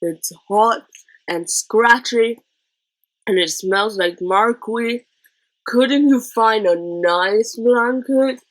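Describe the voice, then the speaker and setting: A woman voicing a huge, fussy, childlike male elephant in a slow, grumbling mumble for a storybook. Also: on the high side, rough recording.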